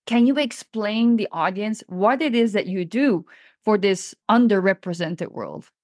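A woman podcast host speaks in a conversational interviewing style. Her voice sits at a middle pitch, recorded dry with hardly any room sound. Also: measured pace, no room sound.

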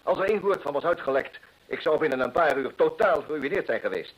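An actor playing a proud, desperate gentleman landowner in an old radio drama, husky, earnest and theatrical. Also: a little high, lively intonation, fast, highs cut off. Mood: desperate, fervent. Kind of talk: old-time Dutch radio drama acting.

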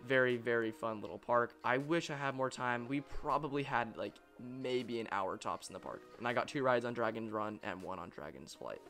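A young man with an average-pitched voice tells a travel story as the voice-over for his own vlog. He sounds eager and keeps the tale moving like a storyteller. Faint music plays under his voice. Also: moderate pace, few pauses. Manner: excited, storytelling enthusiast. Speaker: coaster vlogger. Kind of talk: voice-over narration. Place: voice-over, not at the park.

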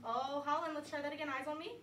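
High-voiced teacher in an echoey classroom. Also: female voice, fast talker. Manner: lively, coaxing, call-and-response cues. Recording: echoey classroom from far off, light noise.

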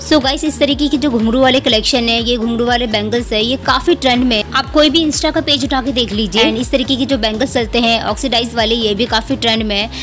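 A woman's voice: on the high side, fast, few pauses, over music. A young woman vlogging from a street market, chatty and emphatic, repeating words for stress and dropping English words into her speech. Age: young adult. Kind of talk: chatty shopping vlog voice-over. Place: busy outdoor street market.

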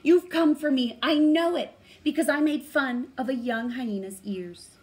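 A woman's voice: high voice, a little slow, a little mellow, pitched above the performer's own voice. A woman voicing a frightened, pleading dog with a quavering voice in a storybook reading. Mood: pleading, scared.

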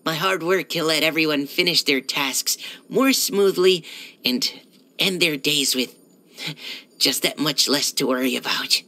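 A timid, well-mannered young male knight from a video game. His voice is bright and youthful, with a crisp, forward edge. He speaks with earnest, hesitant courtesy, always eager to please. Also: a little high, measured pace. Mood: relieved, sincere. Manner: hesitant, soft-spoken politeness, eager to please. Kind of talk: scripted video game character lines.

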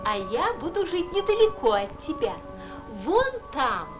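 An actress playing a kind, friendly swallow in a classic cartoon, with a lilting theatrical delivery. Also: female voice, high voice, big pitch swings, unhurried, highs cut off. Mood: kindly. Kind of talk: classic Soviet cartoon voice acting. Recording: old cartoon soundtrack, loud music.

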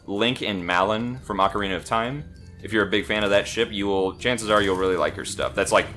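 A man's voice: on the low side, fairly quick, pushed and bright. A man joking casually as co-host of a gameplay video. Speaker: let's play co-host. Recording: music under the voice. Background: game music.

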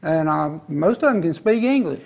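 A man with a gravelly, fairly high voice hosts a TV shopping show. He talks up collectibles in folksy, chatty salesman banter. He sits on an indoor studio set, close on a lapel mic. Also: lively intonation, normal speed, dull audio. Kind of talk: TV shopping pitch.

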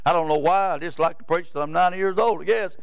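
A man's voice: high voice, fast, gravelly, smooth, dry recording, studio quality. A man, preaching a rambling, folksy sermon in a church sanctuary and often stopping to ask "Amen?". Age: 70s. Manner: rambling, repeats words, asks "Amen?" of the crowd.

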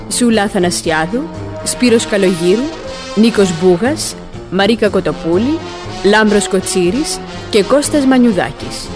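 A woman radio announcer reading scripted credits in a formal, measured manner. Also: medium pitch. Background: loud instrumental music.

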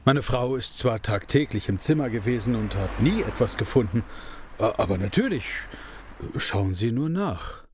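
A welcoming father with a lively, rising-and-falling voice, as a man plays him. He talks in a hospitable, easy way in a fan audio drama. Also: mid-range voice, moderate pace, background noise, close to an everyday voice. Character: friendly father, host offering tea. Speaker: father of missing girl.

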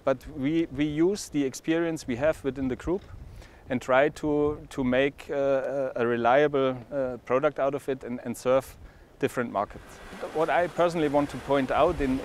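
A middle-aged man being interviewed outdoors on a lapel mic, speaking haltingly with many restarts and fillers. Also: mid-range voice. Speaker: industry executive interviewed. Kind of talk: interview answers. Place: outdoors at a boat show marina.

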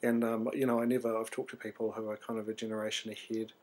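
A man's voice: fairly low pitch, flat delivery, fast talker, darkish tone. A man, an artist rambling through a sleepy, hesitant video diary full of ums and restarts. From New Zealand. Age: 30s.

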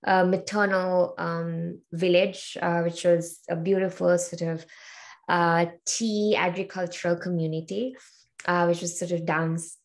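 Young woman from Sri Lanka, slightly low and gravelly, speaking on a webcam call in a home room, thoughtful and hesitant. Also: level tone, measured pace. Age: 20s. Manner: thoughtful, many ums and uhs. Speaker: interview guest, learning experience designer. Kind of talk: casual video call interview. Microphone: video call webcam.

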